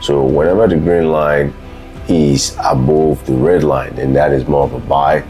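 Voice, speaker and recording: A man with an extremely deep voice walks through material like a tutor. He talks off the cuff but methodically, restating the important points as he goes. Faint music plays behind him. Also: steady tone, a little slow, dark tone. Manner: unscripted, methodical, repeats key points. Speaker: host, trading tutor. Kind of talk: instructional screen walkthrough, explaining charts.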